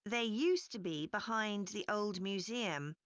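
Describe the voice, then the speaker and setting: A woman's voice: medium pitch, animated, slow pace, smooth, dry recording. Female council chair, scripted public briefing, very varied intonation, close dry sound. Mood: informative. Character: brisk, upbeat female council committee chair giving briefing. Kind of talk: scripted public address for a listening test.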